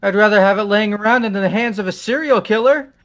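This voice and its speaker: A man's voice: high-pitched, fast talker, faint music behind, close to an everyday voice. A man voicing a dim, easygoing, exasperated young man in a game playthrough. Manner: incredulous, casual, earnest. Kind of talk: voiced visual novel game playthrough.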